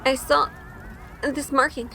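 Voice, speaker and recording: A woman's voice: sits high, little pitch movement, takes it slowly, creaky, pushed and bright, big-room sound, full character voice, rough recording. A woman playing a timid, sheltered young woman in an audio drama, hesitant and anxious. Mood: uneasy, reflective. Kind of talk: audio drama acting and first-person narration. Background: music.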